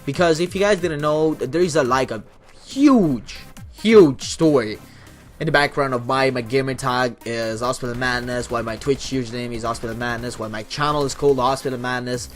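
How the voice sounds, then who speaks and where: A man's voice: mid-range voice, normal speed, faint music behind. A young man from Italy with an Italian accent, answering viewer questions in a casual vlog, rambling and swearing often, with many restarts. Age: young adult. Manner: rambling, profane, frequent restarts. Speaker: YouTuber and streamer.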